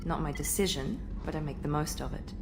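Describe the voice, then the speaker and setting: A woman's voice: low voice, a little fast, noisy background. A woman voicing a supremely self-assured operative in a video game, businesslike, formal and matter-of-fact. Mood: confident, businesslike. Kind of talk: video game dialogue acting.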